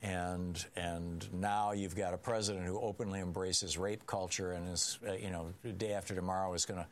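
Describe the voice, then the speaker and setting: A man hosting a political talk radio show, speaking emphatically and dropping low and quiet on serious points. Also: little pitch movement, normal speed.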